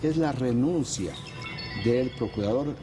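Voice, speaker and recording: A man's voice: medium pitch, over music. Man presenting political analysis. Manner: measured, explanatory, with frequent hesitations. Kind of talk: panel talk show.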